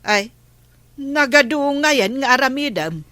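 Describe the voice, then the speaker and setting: Village aunt for comedy drama, female actor: grumbling, gossipy, expressive, sharp bright tone, slightly high. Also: a little slow, full character voice. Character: complaining, gossipy barangay housewife and aunt.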